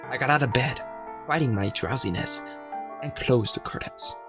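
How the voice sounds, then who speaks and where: A man's voice: mid-range voice, moderate pace, music in the background. A man gaming on video, reading the game's text aloud and chatting with fillers. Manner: reads game text aloud, rambling with fillers. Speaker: gaming YouTuber. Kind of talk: game playthrough narration and chat.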